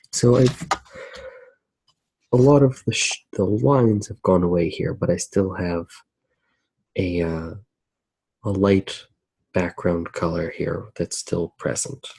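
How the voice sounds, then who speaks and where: A man with a low, gravelly voice talks softly in an ASMR style while drawing. He muses aloud at a slow pace, hesitating and pausing between thoughts. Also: fairly even intonation, low-quality recording. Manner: hesitant, musing, thinking aloud with pauses. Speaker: host of an ASMR drawing channel. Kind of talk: soft-spoken ASMR commentary while drawing.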